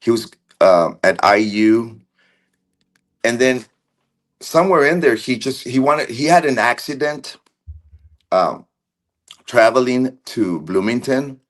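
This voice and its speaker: A man of Argentine background, a guest on a video call, recalling events slowly with many fillers, restarts and pauses. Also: mid-range voice, lively intonation. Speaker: interview guest. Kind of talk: casual recollection.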